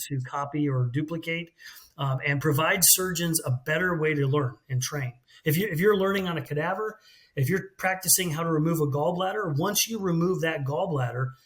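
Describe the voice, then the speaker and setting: American man, a bit higher voice. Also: a bit bright. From United States. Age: middle-aged. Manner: fluent, explanatory, with some restarts. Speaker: company CEO, guest. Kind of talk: business interview.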